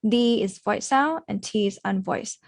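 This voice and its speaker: A woman's voice: medium pitch, creaky, no room sound, clean studio sound. A young woman language teacher giving a conversational explanatory presentation, repeating sounds to demonstrate them. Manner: demonstrates sounds slowly with repetition. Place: indoors on a video call.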